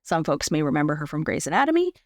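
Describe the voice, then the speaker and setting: A woman speaking as an interview guest, giving a personal account in a reflective, measured and explanatory conversational way. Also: low-pitched, sing-song, no echo, studio quality. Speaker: podcast guest, actor and advocate.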